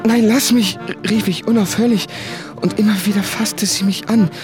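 A man's voice: sits high, fairly even intonation, moderate pace, over music, natural voice. A man acting an anguished, self-tormenting writer in a literary radio drama, brooding and intimate, often sinking into whispers. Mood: panicked pleading.

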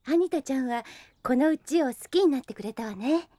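A woman's voice: sits high, expressive, fairly quick, dark tone. A woman playing a kind, protective young older sister in an anime audio drama, polite and motherly. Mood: gentle, caring.

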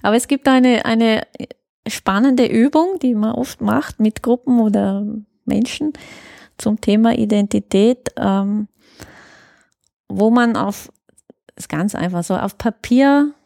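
A woman's voice: on the high side, takes it slowly, creaky, warm tone, no room sound, clean studio sound. A woman explains things thoughtfully in a conversational interview, often searching for words. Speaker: interview guest, youth worker.

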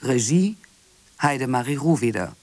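A woman reading radio play credits as a formal, measured scripted announcement. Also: extremely low pitch, animated, a little mellow, no room sound. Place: dry radio studio.

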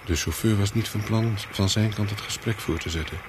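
An actor playing a wary, hunted courier, telling his story in a radio thriller in short, clipped, deliberate sentences. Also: lowish voice, background noise, lightly acted. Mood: wary, brooding. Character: hunted male courier of smuggled microfilms, wary, coolly calculating. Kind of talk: first-person thriller narration in a radio play.

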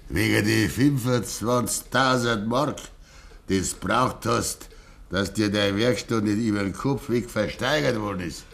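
An actor playing a stubborn, grieving old farmer with a Bavarian accent, deliberate and controlled, in a 1950s dialect radio drama. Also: male voice, lowish voice, gravelly, fairly bright tone. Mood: grave, accusing. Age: older.

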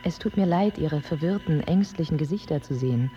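An actress playing a proud, angry yet compassionate Iraqi woman in her twenties, in a measured radio drama monologue. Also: low-pitched, dark tone, natural voice. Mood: sad, compassionate. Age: 20s. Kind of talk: literary first-person radio drama monologue. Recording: dull sound with music underneath.

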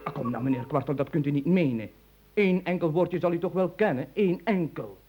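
A man's voice: on the high side, brisk, mellow, breathy edge. A man playing a pompous, long-winded and bossy industrialist in an absurdist comic radio play. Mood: coaxing, insistent. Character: eccentric, pompous male industrialist, fussy and bossy.

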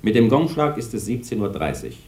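An actor in a radio play playing a formal, impersonal radio announcer, in an echoey recording with some noise. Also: male voice, medium pitch, big pitch swings, brisk.

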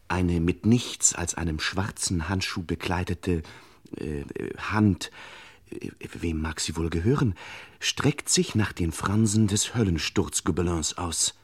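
A man's voice: sits low, slightly rough. A man playing a pompous, mock-solemn narrator of a radio crime parody, speaking in ornate, courteous phrases with dry irony. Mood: ominous. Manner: ornate, mock-formal, addresses listeners with exaggerated courtesy. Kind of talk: scripted radio crime serial narration, ironic.

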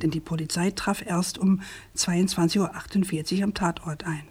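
A woman's voice: fairly low pitch, steady tone, normal speed, darkish tone, husky. A woman playing a persistent, indignant journalist in a radio play, speaking articulately and with accusatory insistence. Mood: firm, pointed. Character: persistent, indignant female journalist confronting a prosecutor.

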